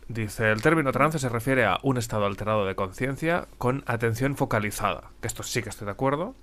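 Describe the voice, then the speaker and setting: A man's voice: medium pitch, lively intonation, fairly quick, a bit bright. A man from Spain with a slightly stuffy, congested nose, chatting casually as a podcast co-host. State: mild head cold. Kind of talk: casual conversational podcast chat.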